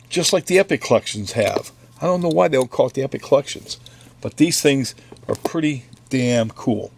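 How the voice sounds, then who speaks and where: A relaxed man runs through a stack of new comics in his shop, talking slowly and dropping offhand jokes as he goes. His voice sits at an ordinary middle pitch, and the delivery is unhurried chat, not a performance. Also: vocal fry. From United States. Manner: offhand, joking asides while flipping through comics. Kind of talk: casual product run-through. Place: comic book store.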